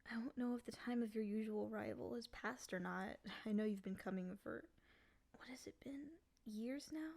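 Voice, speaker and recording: A woman voicing a lonely, clingy female demon in a soft, often whispery voice, coaxing and pleading in an intimate ASMR roleplay. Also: fairly high pitch, normal speed, gravelly. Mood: wistful, anxious.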